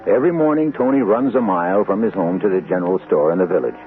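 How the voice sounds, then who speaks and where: A man narrating a vintage radio mystery as its host, in measured storytelling tones. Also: deep voice, faint music behind. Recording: tinny old radio broadcast with noise.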